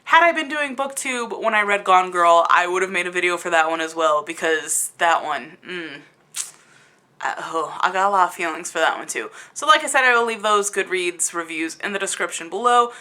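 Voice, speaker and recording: A woman's voice: lowish voice, normal speed, sharp tone. A woman giving a casual, rambling video review in an exasperated, emphatic and incredulous manner. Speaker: book review vlogger.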